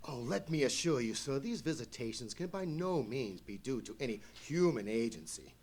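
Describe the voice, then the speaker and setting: Male actor as nervous English nobleman, husky voice, very animated pitch, courteous, florid, hesitant, radio drama. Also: moderate pace. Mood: haunted, earnest. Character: anxious, courteous English lord, haunted and loyal. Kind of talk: live old-time radio play acting.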